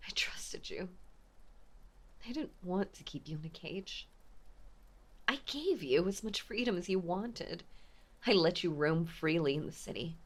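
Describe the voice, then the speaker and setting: A woman playing an obsessive, possessive mafia heiress ex-girlfriend in a dramatic audio roleplay, with intense, menacing, theatrical delivery and a breathy voice. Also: on the low side. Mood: wounded, reproachful. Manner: intense, menacing, theatrical, swinging between hush and outburst. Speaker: voice actor.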